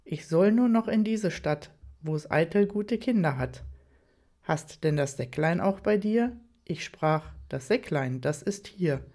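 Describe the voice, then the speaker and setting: A narrator voicing a cheerful male colleague who recites a Christmas poem slowly and evenly. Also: fairly high pitch, animated, darkish tone. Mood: reciting.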